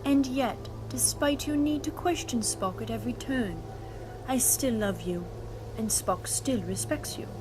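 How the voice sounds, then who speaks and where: A woman's voice: a little high, measured pace, natural voice, poor audio. Woman as earnest, formal Romulan over music. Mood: affectionate. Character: devoted, earnest Romulan follower of logic. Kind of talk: sci-fi audio drama acting.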